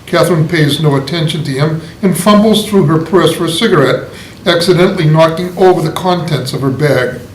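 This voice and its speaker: A man's voice: on the high side, a little fast. A man reading scripted stage directions aloud as the narrator of a play read-through. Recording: echoey room, faint noise.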